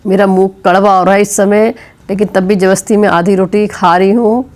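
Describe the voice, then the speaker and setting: A middle-aged woman with a sore throat and fever talks her way through home chores in a chatty vlog. Her voice sits at an ordinary middle pitch, and she explains each step as she goes. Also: fairly even intonation, a little fast, darkish tone. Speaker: vlogger. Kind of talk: casual home vlog narration. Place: home kitchen.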